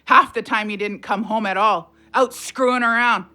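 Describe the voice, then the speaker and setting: A woman's voice: fairly high pitch, moderate pace, sharp tone. An actress playing a bitter, sardonic widowed mother of three in a naturalistic audio play, blunt and colloquial in her talk. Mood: angry, accusing. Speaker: voice actor in audio drama.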